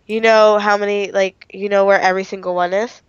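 A woman's voice: mid-range voice, little pitch movement, fairly quick, smooth. A woman chatting casually as a friend playing along, picked up in a dull, off-mic recording. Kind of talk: casual chat. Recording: dull, roomy, off-mic pickup.

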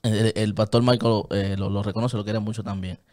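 A man's voice: lowish voice, flat delivery, brisk, darkish tone. Dominican guy in his 30s, earnest, gestures a lot, studio desk mic, small padded booth. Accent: Dominican Spanish. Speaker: guest. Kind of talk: casual studio chat. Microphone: studio mic on a desk stand, headphones on.

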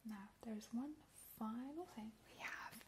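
A woman in a whispered ASMR roleplay, drawing out her words and repeating trigger words softly, recorded indoors at a table on a close mic. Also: a little high, takes it slowly, a little hiss or hum. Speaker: ASMR channel host.

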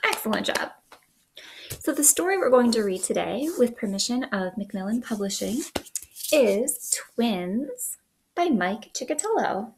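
Female children's librarian, thirties, slightly high voice, unhurried expressive picture-book read-aloud. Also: slight background noise. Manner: sing-song, expressive, asks children questions. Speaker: children's librarian, storytime host. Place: small living room indoors.

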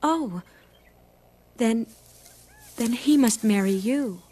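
An actress playing a shy, humble young peasant widow in an animated Bible story, speaking hesitantly. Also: female voice, fairly high pitch, husky, full character voice. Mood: earnest, surprised. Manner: hesitant, halting. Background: music.

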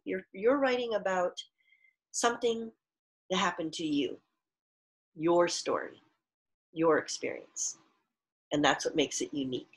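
A middle-aged woman reading aloud as a teacher, expressively and emphatically, pausing to explain things to pupils. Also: lowish voice, low-quality recording. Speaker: elementary school teacher. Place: small furnished room at home, indoors.

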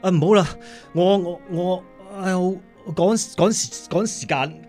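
Apologetic Cantonese working dad. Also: male voice, high-pitched, a little slow, darkish tone, faint music behind. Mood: faint, flustered. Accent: Hong Kong Cantonese. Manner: apologetic, hesitant, sighing. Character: hardworking, devoted, apologetic working-class father. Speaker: radio drama actor. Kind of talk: radio drama acting.

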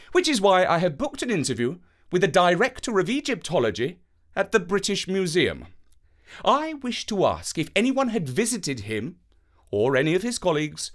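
Victorian gentleman detective, voiced by a man: proud, formal, pitched up, very animated intonation. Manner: formal, measured, emphatic. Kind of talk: children's storybook character voice.

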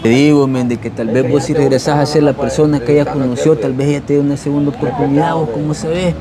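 A man's voice: on the high side, fairly even intonation, quick pace, over a noisy crowd. A young man with a Salvadoran accent, interviewing in a casual, earnest and coaxing way. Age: young adult. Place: outdoors on a city street at night. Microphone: clip-on lavalier. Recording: busy street noise behind voice.

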